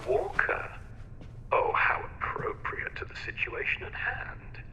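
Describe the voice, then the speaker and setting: A man playing an eccentric, sinister chief surgeon in a video game, speaking with florid, mocking courtesy in a breathy half-whisper. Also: takes it slowly, sharp tone, a little hiss or hum. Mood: mocking, condescending. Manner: theatrical, florid, mocking politeness. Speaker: game voice actor. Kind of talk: video game cutscene acting. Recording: echoey intercom in a reverberant hall.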